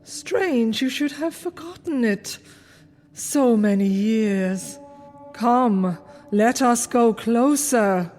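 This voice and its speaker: Woman doing a grave spirit, commanding and slow, long echo effect, soft music behind. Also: on the high side, lively intonation. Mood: solemn. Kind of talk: radio drama acting. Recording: reverb effect on the voice.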